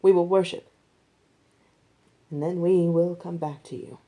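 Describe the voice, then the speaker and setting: Woman reading as mellow, steadfast patriarch father. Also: on the low side, steady tone, unhurried. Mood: calm faith. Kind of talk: scripture storytelling.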